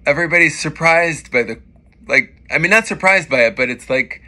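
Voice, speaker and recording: A middle-aged man hosting a casual video-call interview, chatty and playful with quick-fire questions. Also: on the high side, lively intonation, sharp tone. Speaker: host, pianist. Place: indoors, small room.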